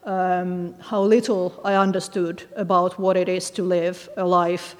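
A woman's voice: fairly low pitch, little pitch movement, clear, resonant tone. Thoughtful woman author in a reverberant hall. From Finland. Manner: thoughtful, hesitant, many pauses and fillers. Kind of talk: discussing her book with an audience.

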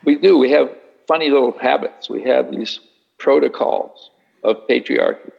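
A man leading a Q&A with an audience in a meeting hall, talking in an unhurried, musing way with dry humor. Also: mid-range voice, vocal fry, breathy edge, highs cut off. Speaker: speaker leading a Q&A session. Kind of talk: reflective talk answering an audience.

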